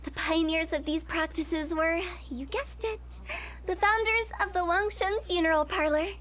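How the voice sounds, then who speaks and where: A woman's voice: very high voice, normal speed, fairly bright tone, slight background noise. A woman voicing a playful young female funeral parlor director in a video game, breezy and teasing as she explains things. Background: game music.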